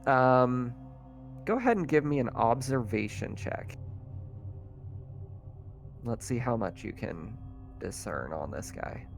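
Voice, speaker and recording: A man's voice: mid-range voice, expressive, slow, long pauses, soft background music. A man running a tabletop roleplaying game as game master, narrating off the cuff in a casual, conversational way.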